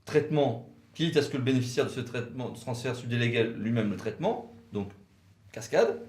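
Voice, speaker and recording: A man giving a didactic, precise legal explanation in short, measured phrases. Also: fairly high pitch. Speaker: legal commentator for a law firm, co-presenter. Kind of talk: explanatory legal lecture, semi-scripted. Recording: roomy, echoing sound.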